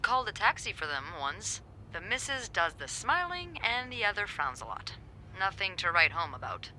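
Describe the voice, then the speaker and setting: An actress playing a wry hotel coworker, speaking in a dry, knowing, teasing way. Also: female voice, mid-range voice, big pitch swings, normal speed, bright, forceful tone, slight background noise, low-quality recording. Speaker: hotel staff.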